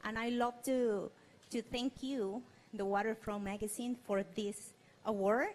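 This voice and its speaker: A woman's voice: fairly high pitch, steady tone, measured pace, darkish tone, low-quality recording. A woman from Peru, a native Spanish speaker, giving a hesitant impromptu acceptance speech. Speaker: award winner.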